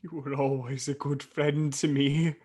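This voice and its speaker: A man's voice: a little high, level tone, measured pace, a little mellow, lightly acted. A man playing a nervous, grudging old miser in a radio play, pleading and hesitant. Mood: nervous, reluctant. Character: frightened, pleading old miser, dry and reluctant. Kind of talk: theatrical radio play acting.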